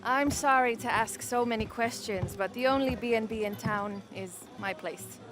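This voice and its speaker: A woman plays a friendly innkeeper in a game cutscene. Her slightly high voice is apologetic, with clear music behind it. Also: normal speed, close to an everyday voice. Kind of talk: video game cutscene acting.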